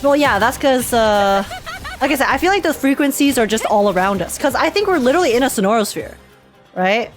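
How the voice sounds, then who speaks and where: A young woman with a high voice streams her reactions to a game. She is casual, excitable and giggly, thinking aloud over faint game music. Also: brisk.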